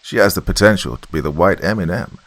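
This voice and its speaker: A man voicing a muscular, stern and scornful army sergeant with a deep Nigerian accent, blunt and barking. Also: lively intonation, fast, dry recording.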